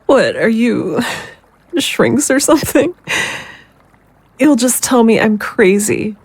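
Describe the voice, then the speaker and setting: A woman acting a fragile, troubled psychic in an audio drama, husky and hesitant in her speech. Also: a little high, very animated, takes it slowly, darkish tone, no room sound, full character voice. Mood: distressed, bitter. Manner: hesitant, faltering. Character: distraught, fragile psychic woman at breaking point.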